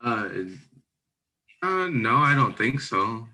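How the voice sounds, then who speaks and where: A male student on a video call answering his teacher in a hesitant, soft-spoken way. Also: medium pitch, very animated, takes it slowly, poor audio. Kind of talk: casual class chat on a video call.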